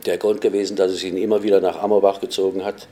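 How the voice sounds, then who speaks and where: A man's voice: low voice, little pitch movement, quick pace, dark tone. A man who owns a hotel, speaking in an interview and hesitating often with fillers. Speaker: hotel owner.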